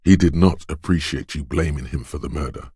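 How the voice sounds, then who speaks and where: An extremely deep-voiced quick-witted inner voice of a detective's instincts in a video game. He narrates in the second person, knowing and measured, with a dramatic touch. The sound is very close and dry. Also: dark tone, slightly rough, dry recording. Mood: tense, narrating. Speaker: voice actor.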